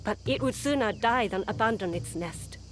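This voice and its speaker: A woman's voice: medium pitch, lively intonation, faint music behind, close to an everyday voice. Woman acting a proud, unyielding warrior, faint score. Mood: proud, defiant. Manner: formal, deliberate, poetic phrasing. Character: proud, unyielding female naginata warrior, earnest and poetic. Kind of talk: dramatic video game acting.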